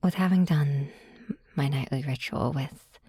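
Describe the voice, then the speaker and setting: A woman reading scripted ASMR narration in a soft, breathy, intimate hush. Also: low-pitched, a little slow. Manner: hushed, intimate. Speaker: audio drama writer and performer.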